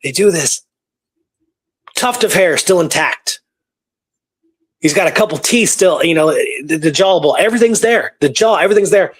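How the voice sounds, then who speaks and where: Higher-voiced man chatting about history. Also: lively intonation, normal speed, pauses often, breathy edge. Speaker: radio show co-host. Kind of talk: casual history discussion.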